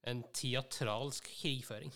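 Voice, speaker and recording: A man with a smooth, clean voice at a middle pitch co-hosts a casual podcast. His phrasing is halting, with thoughts assembled as he goes. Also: steady tone, a bit bright. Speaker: podcast co-host.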